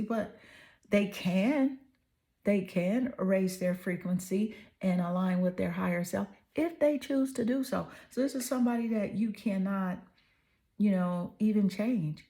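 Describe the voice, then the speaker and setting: Tarot reader, woman, hoarse, mellow and affirming delivery. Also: mid-range voice. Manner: soothing, affirming, repeats "right?". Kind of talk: tarot reading to camera.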